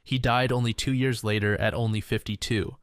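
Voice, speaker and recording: A man's voice: mid-range voice, steady tone, fairly quick, dry recording, studio quality. A man narrating online stories aloud in a plain, matter-of-fact way. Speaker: reddit story narrator.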